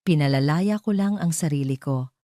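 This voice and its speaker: A woman voicing a calm, resolute young wife in an audio drama, speaking steadily and firmly. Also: sits low, normal speed, no echo, clean studio sound, close to an everyday voice. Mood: quiet defiance.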